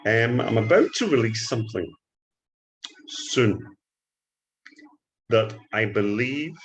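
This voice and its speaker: A Scottish man giving a trading tutorial, talking up his strategy in an excited salesman patter and often calling out "ladies and gentlemen.". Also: fairly low pitch, slow pace. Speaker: forex trading instructor. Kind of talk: screen-share trading tutorial.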